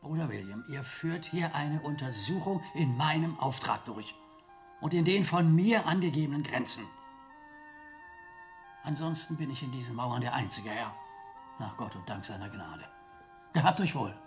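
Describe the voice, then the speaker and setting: Male actor as haughty older abbot, medium pitch, stern, pompous, formal, medieval radio drama. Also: animated, normal speed, faint music behind, lightly acted. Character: stern, proud abbot guarding his authority.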